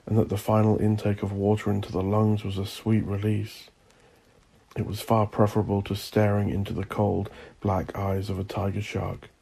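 A man narrating a horror story as an audiobook narrator, intense and theatrical, with a gravelly, husky voice. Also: lowish voice, warm tone. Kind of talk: dramatic scripted horror narration.

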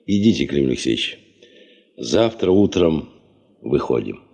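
A stoic expedition leader in a seventies Soviet radio drama. His voice is extremely deep and gravelly, curt, ringing in long echoing reverb. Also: very animated, unhurried, dark tone, exaggerated voice. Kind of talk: 1970s Soviet radio play acting.

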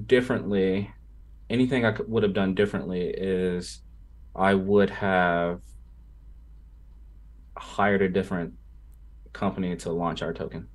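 A man being interviewed as a startup founder over a video call, explaining things casually and haltingly with many pauses. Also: deep voice, level tone. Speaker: interview guest, crypto project founder. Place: home room with gaming chair, on video call. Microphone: desktop microphone on a video call.

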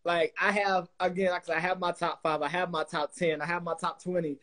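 A man's voice: high voice, little pitch movement, brisk, a bit bright. A young man hosting a casual interview over a video call, effusive and full of fillers and restarts. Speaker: podcast host. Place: small room indoors.

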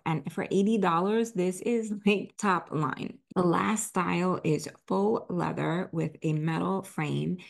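Low-pitched, creaky-voiced woman presenting home decor. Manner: explanatory, conversational. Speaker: home decor video host. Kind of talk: product review voice-over, casual presenting.

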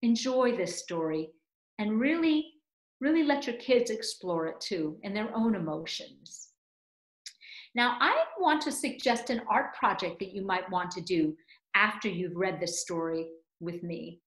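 A woman's voice: medium pitch, expressive, measured pace. A woman in her fifties presenting and reading for young children, with dramatic, emphatic phrasing, recorded in a room at home. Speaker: storyteller and presenter. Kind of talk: expressive storytelling for young children. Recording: roomy indoor echo.

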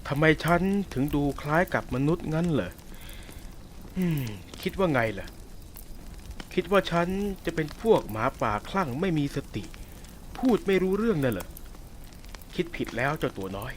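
Man playing a male werewolf captor, possessive, sadistic, soft-spoken, mocking, audio drama roleplay. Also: on the high side, sing-song, moderate pace, pauses often, a little hiss or hum. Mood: taunting. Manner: teasing, taunting, soft and menacing.

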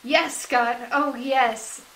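A woman's voice: high voice, fairly even intonation, very slow, a bit bright, a little hiss or hum, heavily acted, low-quality recording. A woman voicing a dry, teasing, friendly teenage schoolgirl in a comic dub. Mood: tender.

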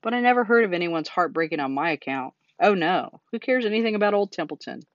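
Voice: mid-range voice, animated, fast talker, no echo, lightly acted. A sarcastic male rat from a children's storybook, acted out by a narrator, full of self-pity and grievance. He mocks and grumbles in exaggerated complaint. The recording is close and dry, a little dull. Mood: mocking. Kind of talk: children's storybook character reading.

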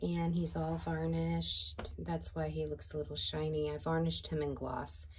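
Woman in her 40s, slow unhurried delivery, groggy morning voice, rambling casual vlog talk from a home studio. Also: sits low, slight background noise. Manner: relaxed, rambling self-talk. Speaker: artist vlogger. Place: small home art studio, indoors.

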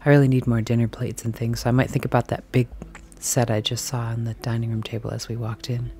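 A woman filming herself as she browses a large antique and thrift shop, chatting casually and musing aloud, sometimes dropping to a soft whisper. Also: extremely low pitch, little pitch movement, fast talker, a little hiss or hum. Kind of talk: casual walk-and-talk commentary. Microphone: handheld camera mic, filming as she walks.

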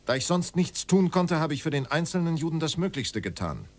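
An actor playing a middle-aged former state secretary under questioning in a radio drama, formal, terse and defensive. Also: male voice, on the high side, big pitch swings, a little fast. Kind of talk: documentary radio drama dialogue.

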